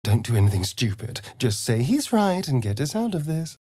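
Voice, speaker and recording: A man with a British accent and a breathy voice playing a vain, sardonic, flirtatious vampire spawn in a video game, with an arch, mocking drawl. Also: medium pitch, big pitch swings, normal speed, mellow, no room sound, studio quality. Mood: pointed, scheming. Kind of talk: theatrical video game character acting.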